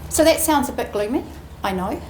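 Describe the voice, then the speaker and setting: A woman giving a prepared ceremony speech as an executive, measured and earnest with deliberate emphasis. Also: high voice, lively intonation. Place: indoor ceremony room. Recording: roomy echoing venue, faint background noise.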